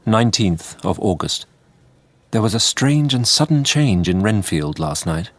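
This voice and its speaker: An actor playing a weary male Victorian asylum doctor, giving sober, measured diary narration in a radio drama with a husky voice. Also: lowish voice, sing-song, no room sound.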